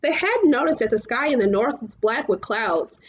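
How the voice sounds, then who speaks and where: High-voiced woman reading a story aloud. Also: expressive. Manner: halting, stumbles over words. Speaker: story reader. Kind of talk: storybook read-aloud narration. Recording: muffled, clearly noisy home recording.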